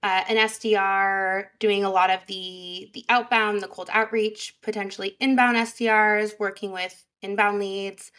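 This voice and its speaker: High-energy chatty woman podcast guest. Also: flat delivery, a little slow, hardly any pauses, a bit bright. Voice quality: higher pitched voice. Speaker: sales representative guest. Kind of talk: casual podcast interview.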